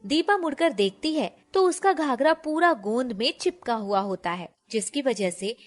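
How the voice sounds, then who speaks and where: A woman narrates a moral tale from a script. Her voice is fairly high, and she speaks as a storyteller. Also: expressive, moderate pace.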